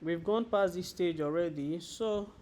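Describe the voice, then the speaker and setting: A man's voice: sits high, unhurried, a little mellow, a little hiss or hum. Nigerian man teaching as a sales trainer. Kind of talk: training presentation to a class.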